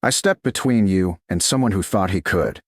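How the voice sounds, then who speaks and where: A man voicing a calm, certain, protective nobleman in an audiobook romance, with quiet, firm, clipped replies. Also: sits low, normal speed, dry recording, clean studio sound. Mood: intimate, firm.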